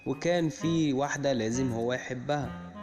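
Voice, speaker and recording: A man's voice: mid-range voice, moderate pace. Man retelling a cartoon in Egyptian Arabic. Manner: plain, steady retelling that chains events with "and then". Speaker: storyteller. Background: music.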